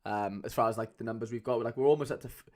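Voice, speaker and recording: A young man with a Cardiff accent chatting casually as a livestream host, loose and rambling with lots of fillers, speaking into a mic on a boom arm at home. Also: racing, darkish tone. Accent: Cardiff Welsh. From Wales. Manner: chatty, loose, many fillers, calls viewers lads.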